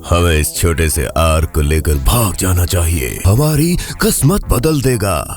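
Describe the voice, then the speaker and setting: A man playing an excitable, greedy, scheming stranger in a children's cartoon story. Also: low voice, soft background music. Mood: greedy, excited.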